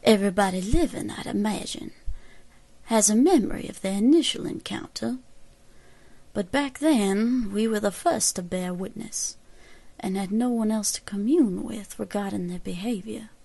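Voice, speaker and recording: A woman playing a grim elderly frontier woman with a Southern American accent, giving a deliberate, folksy first-person account in an audio drama. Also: medium pitch, creaky, warm tone, slightly rough, no echo. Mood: grave, haunted. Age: older.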